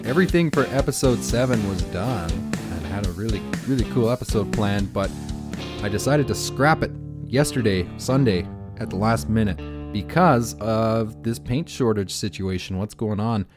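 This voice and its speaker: A man from Canada hosting a podcast in casual, upbeat chat, his voice hoarse and scratchy from dust. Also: lowish voice, moderate pace, over music. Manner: chatty, upbeat, rambling with restarts. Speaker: podcast host.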